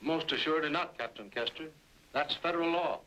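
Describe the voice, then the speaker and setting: Forceful, by-the-book army major. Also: male voice, fairly high pitch, poor audio. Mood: stern, official. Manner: formal, authoritative, measured. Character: firm, by-the-book army major in the Old West. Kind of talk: old-time radio Western acting. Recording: old radio drama with faint music.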